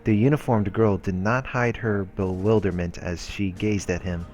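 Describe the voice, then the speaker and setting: Casting: male gaming host narrating story text, deep-pitched, with background game music. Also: steady tone, moderate pace, darkish tone. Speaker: let's play host. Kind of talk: reading visual novel narration aloud with brief reactions.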